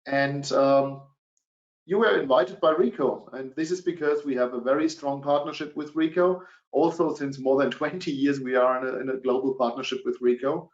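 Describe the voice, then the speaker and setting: A middle-aged man speaking English with a German accent presents a business webinar, stopping often on filler sounds. His voice sits at an ordinary pitch. The sound is dull and lo-fi, with a roomy echo around it. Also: normal speed. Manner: hesitant, many fillers.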